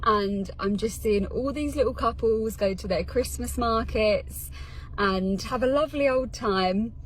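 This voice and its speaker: A woman's voice: a little high, unhurried, slight background noise, poor audio. A thirty-year-old woman with a British accent talking casually and confessionally, as in a personal social media vlog.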